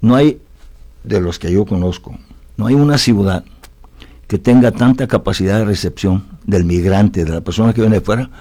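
A man's voice: on the low side, moderate pace, warm tone. A man from Italy talking reflectively as an interview guest, philosophical and often tagging his points with questions seeking agreement.

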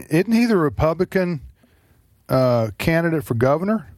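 A man's voice: a little high, sing-song, a little slow, a little mellow, dry recording, studio quality. A man hosting a talk radio show, chatting hesitantly with many uhs and restarts. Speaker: radio host.

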